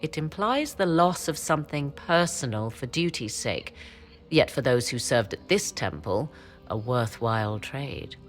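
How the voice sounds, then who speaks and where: An actress playing a proud, guarded and ambitious sorceress in a fantasy game, speaking in formal, measured, haughty phrases. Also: female voice, very deep voice, sing-song, soft background music, full character voice. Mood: cool, resolute. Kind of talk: dramatic scripted game dialogue.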